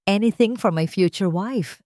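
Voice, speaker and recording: A woman voicing a smooth-talking, boastful rich man who hides his desperation from his fiancée. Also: medium pitch, unhurried, dark tone, no echo, clean studio sound, pitched above the performer's own voice, lightly acted. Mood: suave, reassuring.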